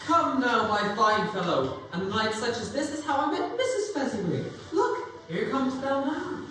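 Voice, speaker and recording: Jolly older gentleman acted by a young woman, hearty, high voice, theatrical, strong echo. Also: very animated, normal speed. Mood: fatherly, teasing. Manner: hearty, booming exclamations. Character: jolly, hearty older male employer, festive and fatherly. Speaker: youth stage actor. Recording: very echoey hall, distant mic.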